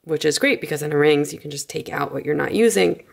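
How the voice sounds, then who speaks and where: A woman chatting informally through a casual craft flip-through in a rambling way, slightly hoarse from a cold. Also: low voice, a little fast. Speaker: craft video creator.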